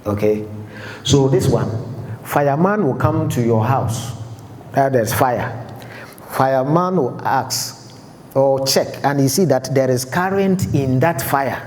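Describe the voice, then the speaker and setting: A man teaches fire safety in a large hall, often checking whether his listeners are following. His voice is dark and mellow. The room gives it a long, echoing tail over a faint background hum. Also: mid-range voice, slow pace. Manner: explanatory, checks understanding with the audience. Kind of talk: seminar lecture.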